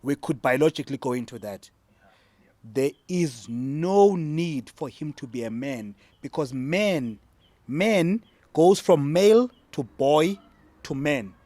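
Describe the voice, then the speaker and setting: A man's voice: on the high side, animated, slow, warm tone, no room sound. A middle-aged South African man from the Eastern Cape, a native Xhosa speaker, arguing his point emphatically and repeating key lines in a casual debate. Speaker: discussion panelist.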